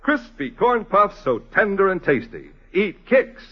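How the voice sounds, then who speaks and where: A man reading a 1950s radio advertisement in a punchy salesman's manner, with a rough-edged voice on an old broadcast recording. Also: high voice, big pitch swings, measured pace, lo-fi sound. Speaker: radio commercial pitchman.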